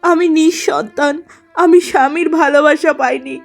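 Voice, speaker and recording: A woman voicing a gentle, sorrowful elder sister in a melodramatic cartoon story, plaintive and self-pitying. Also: high voice, fairly even intonation, moderate pace, vocal fry, soft background music.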